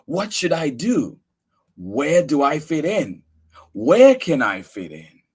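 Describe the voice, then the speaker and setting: A man reading a picture book aloud for children, expressive and earnest, with emphatic delivery. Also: on the high side, slow, slightly rough.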